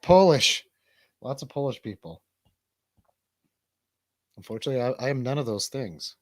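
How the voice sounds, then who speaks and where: Male talk host, rambling and bantering, very animated intonation, close dry sound. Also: medium pitch, moderate pace, no room sound. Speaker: radio show host and DJ. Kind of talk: casual chat.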